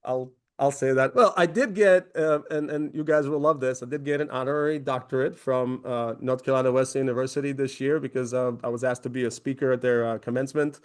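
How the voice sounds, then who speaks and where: A man's voice: mid-range voice, fairly even intonation, fast talker, hardly any pauses, clear, resonant tone. A man in his forties from Croatia, a company founder giving a conversational presentation with many fillers and restarts. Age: 40s. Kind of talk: webinar presentation.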